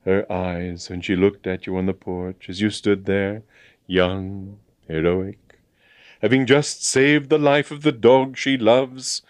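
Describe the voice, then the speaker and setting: A man voicing a sentimental old army colonel in a storybook, with halting, courtly, blustering speech. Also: fairly low pitch, fairly even intonation, no echo. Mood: sad, noble. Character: bluff, sentimental, absent-minded old army colonel, wistfully noble. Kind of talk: dramatised storybook reading.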